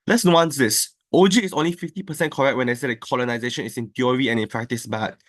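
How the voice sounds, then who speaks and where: A man delivering a competitive debate speech over an online call, arguing point by point with quick rebuttals. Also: medium pitch, fairly bright tone. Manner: fast, structured argument with quick rebuttals. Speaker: debate speaker. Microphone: online call, own mic.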